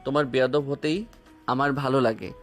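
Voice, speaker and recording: A man playing an affectionate, playful young husband in a romantic audio drama, speaking in a tender, teasing way, with soft music underneath. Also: mid-range voice, fairly even intonation, brisk, lightly acted.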